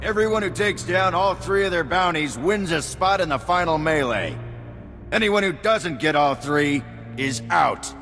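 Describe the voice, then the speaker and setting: An actor playing a brash, scornful announcer of a bounty hunt in a video game, theatrical and mocking. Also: male voice, on the high side, lively intonation, unhurried, pushed and bright, full character voice. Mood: commanding. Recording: echoing hall with background music.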